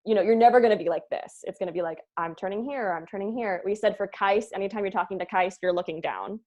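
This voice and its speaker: Woman, mid pitch, casual, rambling, jokey, leading a group meeting. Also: fast talker, darkish tone. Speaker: show creator leading a cast table-read meeting. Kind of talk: casual chat.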